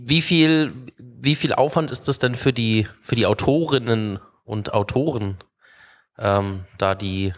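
A man with a slightly low voice speaks from an audience. He asks his question informally, building it up in loose phrases. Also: fairly bright tone.

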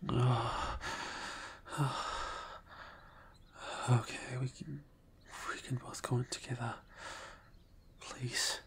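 A young man speaking softly and hesitantly in an improvised ASMR roleplay, often dropping to a whisper. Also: mid-range voice, flat delivery, drawn-out words, long pauses.

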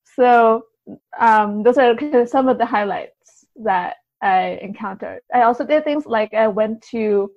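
A woman speaking as an interview guest, casually recounting experiences in a halting, think-aloud way. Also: fairly high pitch, darkish tone, muffled recording. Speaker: podcast guest, writer and translator.

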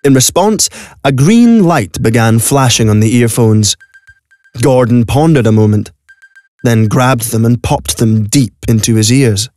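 A man's voice: mid-range voice, animated, a little slow, a little mellow, no echo, faint music behind. Male narrator, dry studio sound. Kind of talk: scripted audio drama narration. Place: recording studio. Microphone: close studio microphone.

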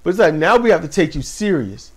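A man co-hosting a casual wrestling talk show, animated and laughing often, speaking to viewers as y'all. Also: fairly high pitch, fairly quick. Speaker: podcast co-host. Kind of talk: casual chat about wrestling.

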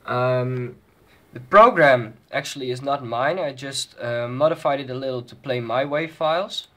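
A man's voice: medium pitch, normal speed, fairly bright tone. A man giving an informal, hesitant walkthrough of a hobby electronics project, speaking from behind a handheld camera. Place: indoors at a desk with electronics.

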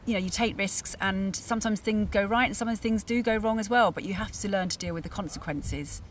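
A woman's voice: mid-range voice, fairly quick, soft background music. A woman in her forties presenting a sailing vlog in a casual, chatty style, recorded outdoors on a camera. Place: outdoors at a harbour and on a sailboat. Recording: distant mic, noisy outdoor boat ambience.

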